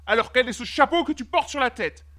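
A woman's voice: high-pitched, expressive, brisk, a bit bright, voice pushed up. A woman voicing a haughty, furious king in a storybook, imperious and indignant as she makes demands. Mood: stern, irritated. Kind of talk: storybook character acting.

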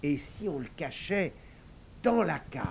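A man's voice: fairly high pitch, sing-song, unhurried, gravelly, slight background noise, voice pushed up, full character voice. A man voicing a sensible young girl who speaks thoughtfully and suspiciously.

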